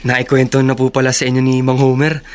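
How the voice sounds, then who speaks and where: A man's voice: mid-range voice, completely flat delivery, quick pace, a little hiss or hum, close to an everyday voice. An actor playing a humble, eager young working-class man, hesitant and deferential, in a Tagalog radio drama. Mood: eager, nervous.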